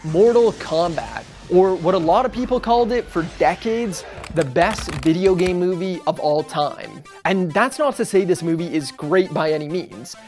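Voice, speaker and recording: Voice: mid-range voice, expressive, normal speed, few pauses, darkish tone. A host narrating a scripted video essay in a casual, conversational way. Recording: music under the voice. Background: background music.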